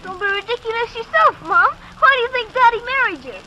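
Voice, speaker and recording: Woman as very high-pitched, pert young daughter. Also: animated, a little fast, sharp tone, dull audio, heavily acted. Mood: cheeky. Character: pert, starry-eyed young daughter in 1940s family. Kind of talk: 1940s radio acting. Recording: hissy old radio recording.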